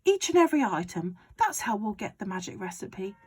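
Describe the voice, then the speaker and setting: A woman reading aloud and voicing an excitable, bossy father who shouts his big plans. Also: fairly quick, breathy edge. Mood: overexcited. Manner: shouting, overexcited, booming. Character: excitable bossy father, middle-aged man.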